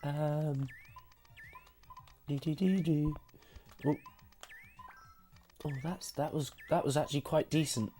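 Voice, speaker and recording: A woman with a husky voice after a recent illness, chatting casually and self-deprecatingly while live-streaming retro games. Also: sits low, steady tone, takes it slowly, pauses often, dark tone, soft background music. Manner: self-deprecating, apologetic, giggly. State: recovering from sickness.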